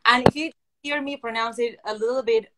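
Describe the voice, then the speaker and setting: A woman in her forties from Argentina, Spanish her first language, speaking English with an Argentine accent as she hosts a video-call interview from a lamp-lit living room, excited and stressing words slowly. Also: fairly high pitch, noisy background. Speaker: host. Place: living room indoors with lamps. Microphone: video call, phone or laptop camera.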